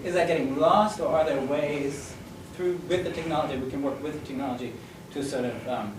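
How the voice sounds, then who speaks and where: A man with a fairly high voice asks a rambling question, stopping often to search for words. He is picked up from a distance in a roomy space with clear background noise. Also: a little fast. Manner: hesitant, searching for words, laughs softly. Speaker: audience member asking a question. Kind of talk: question from the audience. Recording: roomy audio from away from the mic, with noise.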